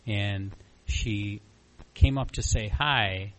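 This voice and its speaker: A man's voice: lowish voice, very slow, fairly bright tone, a little hiss or hum, low-quality recording. A man in his mid-forties giving informal personal testimony in a candid, self-deprecating, rambling storytelling way. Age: mid-40s. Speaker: recovery meeting speaker sharing a personal story.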